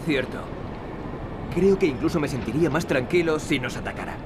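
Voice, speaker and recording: Man playing a cocky, animated young adventurer. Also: on the high side, fairly quick, noisy background, close to an everyday voice. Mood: wry concern. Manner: wisecracking, cocky. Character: young male adventurer hero, cocky, wry and bold. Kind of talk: video game voice acting. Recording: loud game music behind. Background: music.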